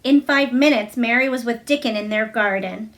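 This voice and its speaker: A woman's voice: fairly high pitch, normal speed. A woman reading a children's storybook aloud as its narrator. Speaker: storybook reader.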